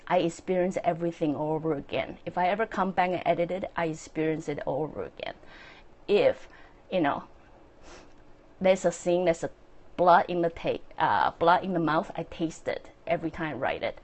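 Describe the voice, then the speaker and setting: A woman with a Chinese accent, speaking English as a second language, hosting a casual, earnest solo talk with many fillers and soft laughs. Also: on the low side. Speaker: podcast host and author.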